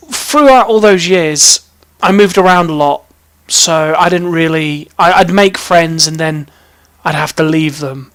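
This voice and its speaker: Young man, English accent, high voice, dry sound, casual vlog storytelling, rambling, playful. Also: fairly bright tone, dry recording. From British, raised in army family in Germany, Hong Kong and England. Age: young adult. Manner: rambling, self-interrupting, playful. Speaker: vlog host. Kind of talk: casual chatty personal storytelling to camera.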